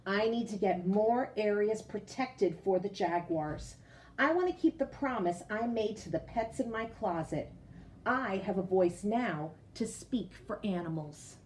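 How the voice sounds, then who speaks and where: Expressive woman reading to children. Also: mid-range voice, unhurried, a little hiss or hum, poor audio. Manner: expressive, addresses young listeners directly with questions. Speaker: teacher reading a picture book aloud to children. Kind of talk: storybook read-aloud with explanatory asides.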